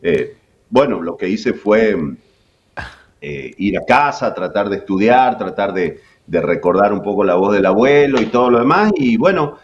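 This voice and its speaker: A man's voice: lowish voice, animated, normal speed, lo-fi sound. A man in his forties with an Argentine accent, telling stories as a guest in an interview. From Jujuy, Argentina. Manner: chatty, many fillers. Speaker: voice actor guest. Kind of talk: relaxed interview storytelling.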